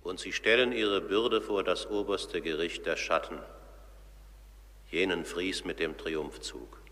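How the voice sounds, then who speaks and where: Solemn male narrator, very low voice, formal radio play storytelling, long hall reverb. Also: steady tone, unhurried, a bit bright.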